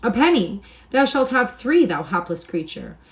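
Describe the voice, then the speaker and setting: A woman reading aloud and voicing a soft-hearted gentleman stranger, flustered and pitying. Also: medium pitch, moderate pace, lightly acted.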